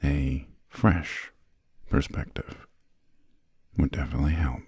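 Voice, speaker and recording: A man playing an imperious, centuries-old vampire lord in an ASMR roleplay, courteous but condescending, with formal, measured, soft speech and a breathy, gravelly voice close to the microphone. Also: a bass voice, no echo, heavily acted. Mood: condescending, wry. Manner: slow, measured, formal, haughty, drawn-out pauses. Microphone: close, dry studio microphone.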